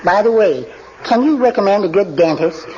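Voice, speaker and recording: A man's voice: high voice, animated, moderate pace. An actor playing a meek, frail, henpecked little middle-aged man, timid and polite, in a 1940s radio comedy. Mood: meek, chirpy. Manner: timid, polite, mild-mannered. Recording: noisy, muffled 1940s radio recording.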